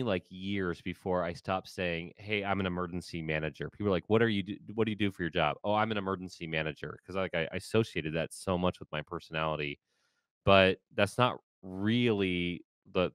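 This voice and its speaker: Deep-voiced man hosting a podcast. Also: clean tone, dry recording. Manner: relaxed, thinks aloud with fillers. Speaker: podcast host. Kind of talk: conversational interview. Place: home office with shelves. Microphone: studio mic on boom arm. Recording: video call.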